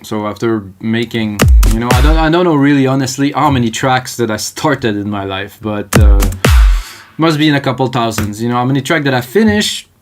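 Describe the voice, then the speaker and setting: A man's voice: medium pitch, lively intonation, fairly quick. Middle-aged French Canadian man, producer type, casual and rambling, heavy electronic music under the voice. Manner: rambling, thinks aloud, casual with frequent fillers. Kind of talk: live music production tutorial. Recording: loud electronic music playing behind. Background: loud techno music and keyboard typing.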